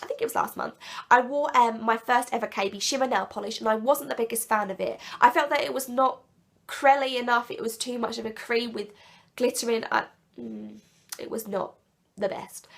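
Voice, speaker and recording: A woman with a sick, croaky and blocked-up voice, chatting enthusiastically and rambling a little as she reviews products in a casual vlog. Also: on the high side, steady tone, moderate pace. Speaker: nail polish vlogger.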